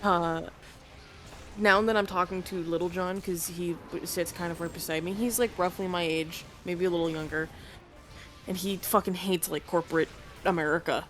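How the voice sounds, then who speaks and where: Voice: medium pitch, fairly even intonation, moderate pace, fairly bright tone, a little hiss or hum. A young adult on an online voice call with friends, casually telling work anecdotes, laughing along and swearing freely. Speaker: gamer on a group voice call. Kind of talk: casual storytelling while gaming.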